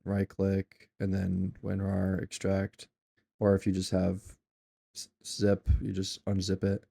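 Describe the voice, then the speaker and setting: A man talking through a software tutorial in an offhand, rambling way, with many ums and self-corrections. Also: deep voice. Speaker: tutorial maker. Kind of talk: casual screen-recorded software tutorial.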